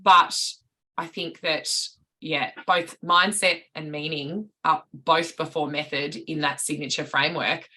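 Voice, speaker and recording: A woman's voice: low-pitched, fairly even intonation, measured pace, pushed and bright. A woman, a public speaking coach answering podcast questions in a reflective, articulate way, sometimes restarting a sentence.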